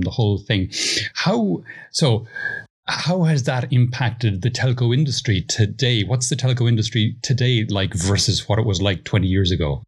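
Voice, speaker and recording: Male host, lowish voice, easygoing interviewer. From Ireland. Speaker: podcast host. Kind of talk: conversational interview.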